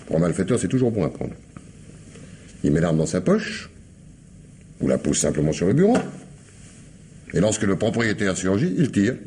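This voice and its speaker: Suspicious police inspector, low voice, swooping intonation, musing, pointed pauses, noisy vintage radio recording. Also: male voice, big pitch swings, mellow. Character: probing, suspicious male police commissioner, shrewd and unhurried. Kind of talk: old French radio mystery drama acting.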